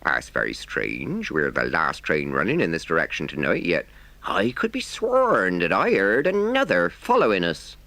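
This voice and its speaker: Gruff but kind old train driver from a storybook, read by a man, firm and homely, lively pitch. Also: normal speed, no echo, full character voice. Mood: puzzled. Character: kindly gruff older male engine driver.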